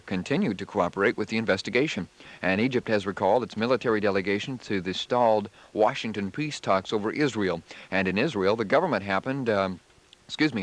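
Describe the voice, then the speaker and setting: An American man working as a radio DJ, delivering music patter and news in a 1970s style. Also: lowish voice. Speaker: radio DJ and newsreader.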